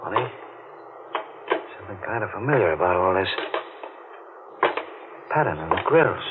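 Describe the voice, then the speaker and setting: A noisy, phone-thin old radio recording carries a brooding American deep-sea diver. His voice sits low, and he talks in halting, tense, tough-guy slang. It is haunted 1940s radio drama. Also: lively intonation, phone-quality sound. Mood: distracted, uneasy.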